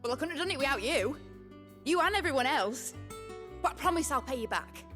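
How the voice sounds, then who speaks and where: An actress playing an eager, chirpy young craftworker in a fantasy game, bubbly and emphatic. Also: female voice, high voice, lively intonation, brisk, fairly bright tone, soft background music. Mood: excited, grateful. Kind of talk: video game drama acting.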